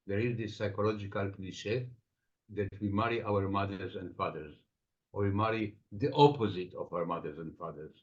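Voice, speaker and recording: A man with an Estonian accent, a psychotherapist answering interview questions thoughtfully, with many pauses. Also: lowish voice. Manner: reflective, explains with everyday examples, frequent pauses. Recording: dull lo-fi sound.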